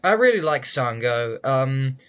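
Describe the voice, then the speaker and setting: A man's voice: mid-range voice, sing-song, a little slow, a bit bright. A man hosting a gaming video with casual commentary on a dull, lo-fi voice track. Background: game music.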